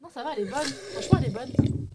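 A woman's voice: sits high, lively intonation, very fast, very noisy. A woman co-hosting a podcast in casual chat, reacting expressively. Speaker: podcast co-host.

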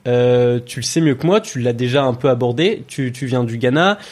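A man's voice: mid-range voice, normal speed, a little mellow. A man hosting a podcast, asking his guest questions in a loose, chatty way with lots of filler words. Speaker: podcast host. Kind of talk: casual podcast interview.

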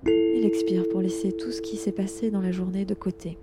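A woman guiding a yoga nidra relaxation, speaking gently and soothingly in unhurried instructions with long pauses. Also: mid-range voice, fairly even intonation. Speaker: yoga nidra meditation guide. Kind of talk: guided relaxation meditation. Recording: loud meditation music under the voice. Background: loud instrumental relaxation music.

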